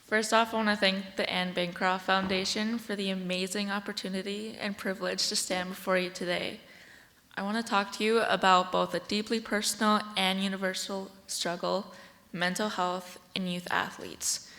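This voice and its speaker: A teenage American girl delivers a prepared speech from a stage. Her voice sits at a middle pitch, earnest and deliberate, and rings with the long reverb of a large hall. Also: level tone, pushed and bright. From Washington State, United States. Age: teens, about sixteen. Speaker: youth advocate and speaker.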